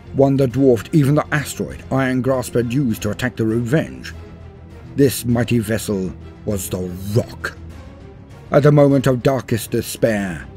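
A man reading a scripted war story as a dramatic narrator, grave and theatrical, in measured phrases. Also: mid-range voice, a little mellow. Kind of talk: dramatic scripted lore read-through. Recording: voice-over with music behind. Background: music.

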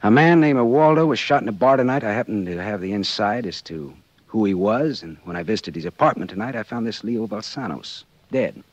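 Man acting hard-boiled detective, American, husky, lowish voice, glib, sardonic, old radio drama. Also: brisk, few pauses, no echo, lightly acted. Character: wisecracking hard-boiled male private detective. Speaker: lead actor and narrator. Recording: muffled, lo-fi 1940s radio recording.

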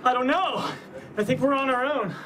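Very high-pitched panicked American man. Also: moderate pace. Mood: desperate. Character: panicked, bewildered young male brand staffer flying plane. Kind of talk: sketch comedy acting. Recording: noisy TV sketch with studio audience.

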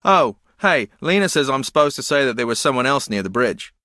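An actor playing an earnest, spacey male rugby champion in a video game, with a deadpan, halting, rambling delivery. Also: mid-range voice, no room sound, studio quality. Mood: guileless, matter-of-fact. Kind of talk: video game character dialogue.

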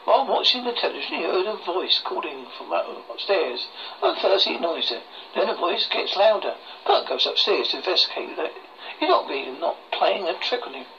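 A horror host reading a scripted story aloud in a halting way, stumbling over words, on a muffled lo-fi recording. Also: medium pitch, sing-song, gravelly. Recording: muffled lo-fi recording with faint noise.

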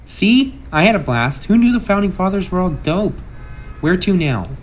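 A man's voice: high voice, big pitch swings, normal speed, soft background music. A man playing a brash, sarcastic masked antihero with a kind streak, in a theatrical comic book dub. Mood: excited, playful.

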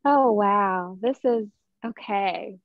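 A woman's voice: mid-range voice, slow, no echo. Young woman on a muffled video call. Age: young adult. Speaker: guest playwright and poet. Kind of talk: reflective personal storytelling. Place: small room at home, on a video call.

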